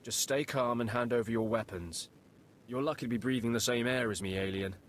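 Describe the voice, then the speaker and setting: An arrogant navy sergeant from a sci-fi game, acted by a man. He is commanding and officious in a medium-pitched voice. Faint rumbling noise lies under the recording. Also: fairly quick, close to an everyday voice. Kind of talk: video game voice acting.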